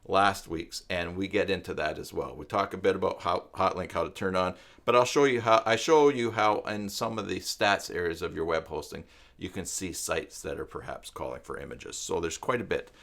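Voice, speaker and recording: A man hosting an informal live stream tutorial, explaining things step by step in a halting way as he thinks aloud. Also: deep voice, vocal fry, a bit bright. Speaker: live stream host and web tutorial presenter. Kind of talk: informal unscripted tutorial talk to viewers.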